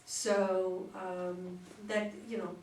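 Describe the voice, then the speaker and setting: A woman's voice: fairly low pitch, little pitch movement, extremely slow, darkish tone. A woman talking casually in a group discussion about a script. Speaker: participant in a play discussion group. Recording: echoey room, distant mic, background noise.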